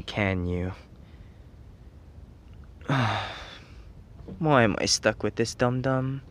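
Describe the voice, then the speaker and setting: A man's voice: medium pitch, a bit bright. A young man playing a grumpy, teasing tsundere teenage best friend in an ASMR roleplay, scolding in an exasperated, whiny way.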